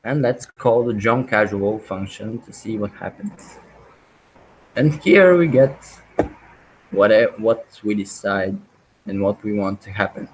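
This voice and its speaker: A man teaching a programming webinar, walking through live code with many pauses, ums and restarts. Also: on the low side, animated, slight background noise, poor audio. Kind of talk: live coding walkthrough.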